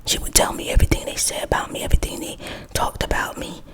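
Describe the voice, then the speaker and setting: A woman whispering a gossipy storytime while she does her makeup, close to the mic. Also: fast. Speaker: vlogger.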